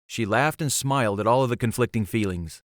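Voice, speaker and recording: A man narrates a fantasy audiobook in a medium-pitched voice. The delivery is scripted storytelling, recorded close with no room sound. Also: fairly quick, no room sound. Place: close, dry recording space.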